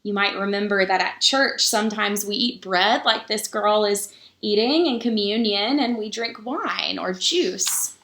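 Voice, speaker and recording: Woman, children's story-time reader. Unhurried, mid-pitched, explains simply to little ones. Roomy, echoing recording. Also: a bit bright. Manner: addresses young children, explaining simply as she reads. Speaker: pastor reading a children's book.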